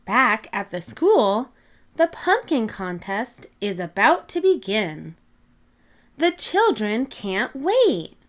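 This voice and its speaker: A woman reading a picture book aloud to young children, expressive, with clearly separated phrases. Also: on the high side, slow. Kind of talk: animated read-aloud storytelling for young children.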